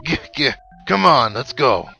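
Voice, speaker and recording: A man's voice: mid-range voice, very animated, a little slow, vocal fry, pushed and bright, soft background music, voice pushed up, heavily acted. A man voicing a gloating monster boss from a video game, taunting with guttural, gagging laughs. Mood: menacing, gloating. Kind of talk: reading video game dialogue aloud.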